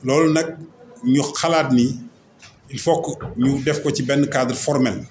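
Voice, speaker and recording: A man with a Senegalese accent speaking as a local mayor, making a semi-formal announcement in a halting, list-like way. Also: medium pitch, steady tone, a little mellow. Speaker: local mayor and association vice-president. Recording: roomy echo, faint background noise.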